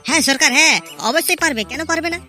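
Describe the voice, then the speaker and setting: Fast eager cartoon voice. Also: female voice, high-pitched, sharp tone, faint music behind. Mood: fawning. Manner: eager and fawning. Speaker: voices several cartoon men. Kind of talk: Bengali cartoon voice acting.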